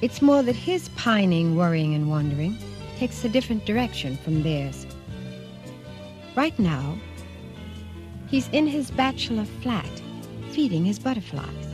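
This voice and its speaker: A woman reading scripted radio drama narration in a measured storytelling manner. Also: medium pitch, big pitch swings, over music.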